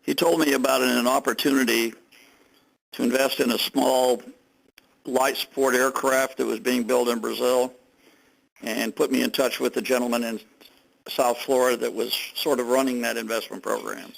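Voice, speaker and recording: An older businessman speaks on a conference call with a creaky, gravelly edge to his mid-pitched voice. He recounts his business in deliberate phrases, pausing between them. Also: level tone, low-quality recording. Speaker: company executive being interviewed.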